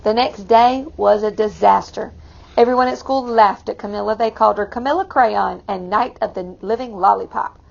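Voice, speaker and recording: A woman reading a picture book aloud to children, expressively, pausing to explain it in simple asides. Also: medium pitch, vocal fry, dull audio. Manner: expressive, explains the story to young listeners. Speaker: read-aloud storyteller for children.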